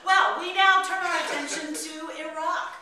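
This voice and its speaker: A middle-aged woman reading satirical news headlines aloud from a script, with deliberate, expressive delivery. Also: very high voice, a crowd in the background. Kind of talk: mock newscast read aloud from script at rehearsal. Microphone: far camera. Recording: echoey room, distant camera mic, faint noise. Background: small group of colleagues in the room.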